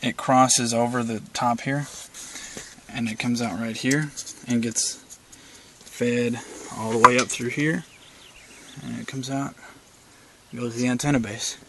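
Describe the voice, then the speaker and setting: A man's voice: medium pitch, steady tone, unhurried, long pauses, noisy background. A man giving a casual, rambling walkthrough from inside his car, filming with a handheld camera and talking with lots of "uh" fillers. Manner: rambling, many "uh" fillers, plain-spoken. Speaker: video maker showing his car setup.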